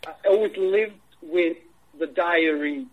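Halting Icelandic man, husky and lo-fi. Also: on the high side. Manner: halting, many restarts and fillers. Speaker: film director. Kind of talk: interview answers.

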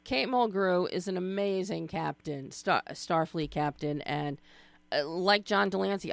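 A woman's voice: lowish voice, gravelly, fairly bright tone, dry recording. A middle-aged woman hosting a radio interview, playful and chatty, with filler words and side remarks. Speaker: radio host.

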